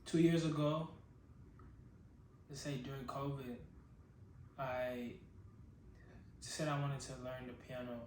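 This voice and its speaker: A man's voice: mid-range voice, little pitch movement, slow pace, long pauses, darkish tone. A young man from Nigeria talking unscripted about himself, reflective and rambling, often stopping to restart his sentences. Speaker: vlogger. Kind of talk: unscripted personal monologue. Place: his own secluded room. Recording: roomy echo, distant mic, background noise.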